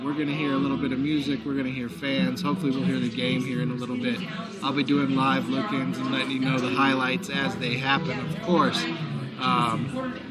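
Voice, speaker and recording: Hoarse man hosting sports talk. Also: mid-range voice, little pitch movement, brisk, loud music behind the voice. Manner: chatty, promotional, frequent fillers. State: hoarse, recovering lost voice. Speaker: sports talk show host. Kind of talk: live sports talk broadcast. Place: back room of a busy sports bar. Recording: noisy bar crowd behind. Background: crowd chatter.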